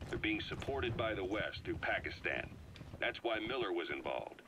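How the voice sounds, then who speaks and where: A man voicing a grave veteran spy who delivers a deliberate, weighty scripted briefing in a video game. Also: fairly low pitch, big pitch swings, bright, forceful tone, slight background noise, heavily acted. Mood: grave, measured. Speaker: intelligence briefer on an audio tape. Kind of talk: dramatic scripted briefing narration. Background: horse hooves and game ambience.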